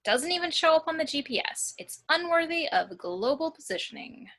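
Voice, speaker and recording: A woman's voice: sits high, big pitch swings, moderate pace, a bit bright. A woman at an amateur table read playing a sassy, dryly teasing college girl.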